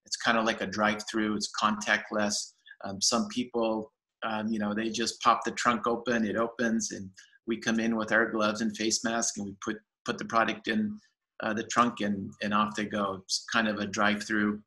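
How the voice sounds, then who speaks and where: A man in his fifties speaks from a home room over a laptop webcam. His voice sits low and fairly flat, with a bright, forward edge. He rambles eagerly, full of fillers and restarts. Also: normal speed, low-quality recording. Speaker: farmers market general manager. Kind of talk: casual video-call interview. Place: home room with shelves, indoors. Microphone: laptop webcam on video call.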